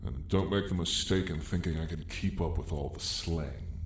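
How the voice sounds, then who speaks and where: A man's voice: sits low, moderate pace, natural voice. A man playing an ancient, weary dragon lord with dry menace, telling his tale in a grand, wry, old-fashioned way for an ASMR roleplay. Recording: roomy echo, faint background noise.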